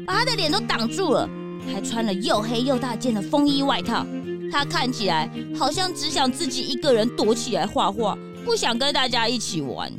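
A woman voicing an excitable, naive and curious young cartoon sidekick in a children's detective radio drama, with wide-eyed, exclamatory reactions. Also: high-pitched. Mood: uneasy.

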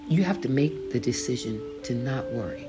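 Woman, low voice, earnest devotional reading, music playing behind. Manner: earnest, conversational, addresses listener as beloved. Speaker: author and podcast host. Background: background music.

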